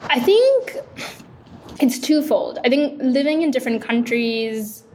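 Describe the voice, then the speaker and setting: Young female founder from Sri Lanka, casual interviewee, slightly high voice, faint background hiss. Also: big pitch swings, measured pace, darkish tone. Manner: casual, reflective, frequent "like" fillers. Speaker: startup founder. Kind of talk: interview conversation.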